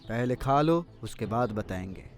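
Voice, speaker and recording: Man as a slow-spoken, solemn fugitive warrior. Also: medium pitch, lively intonation, faint music behind, lightly acted. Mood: solemn, kindly. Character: honourable fugitive warrior, wary but hospitable outlaw. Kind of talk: Hindi cartoon moral-story voice acting.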